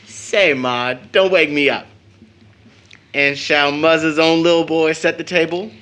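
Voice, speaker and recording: A man's voice: on the high side, fairly even intonation, slow pace, fairly bright tone, smooth. A man acting an eager, cheeky, devoted teenage son in an audio drama, boyish and slangy. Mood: cheerful, affectionate.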